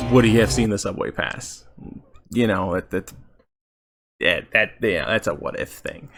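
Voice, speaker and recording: A man giving casual video game commentary as a channel host, halting as he thinks aloud. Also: on the low side.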